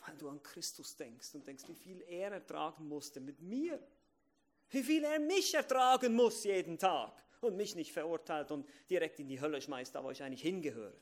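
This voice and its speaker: A man preaching a sermon to a congregation, repeating key phrases, asking questions and swinging from loud emphasis to quiet asides. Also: fairly high pitch, lively intonation, moderate pace.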